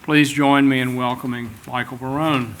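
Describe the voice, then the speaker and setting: A man at a podium introduces the next panelist. His voice is mid-range with a bright, forceful edge. He sounds relaxed and pauses now and then to find his words. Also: medium pitch, slight background noise, rough recording. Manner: relaxed, with some hesitations. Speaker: event moderator. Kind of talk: speaker introduction. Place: lecture hall.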